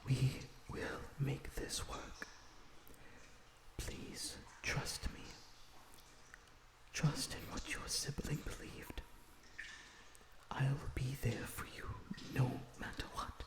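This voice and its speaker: A man playing a gentle, earnest vampire prisoner in an ASMR roleplay, soothing and pleading in a breathy, slightly formal way. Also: mid-range voice, natural voice. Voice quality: breathy, often dropping to a whisper. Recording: roomy echo on the voice.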